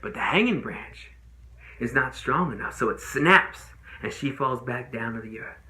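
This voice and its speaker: Man acting intense young trial lawyer, dramatically animated. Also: medium pitch. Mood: grave, anguished. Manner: deliberate, emphatic, with dramatic pauses. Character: young male Southern trial lawyer giving an impassioned, pleading closing argument. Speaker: amateur actor. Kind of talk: dramatic monologue, courtroom closing argument.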